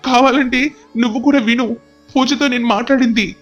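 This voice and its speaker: A man's voice: very high-pitched, fairly even intonation, normal speed, a bit bright, highs cut off, faint music behind. A man playing a stern, anguished father and husband, scolding and emotional, in an audio story. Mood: insistent. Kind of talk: dramatic audio story dialogue.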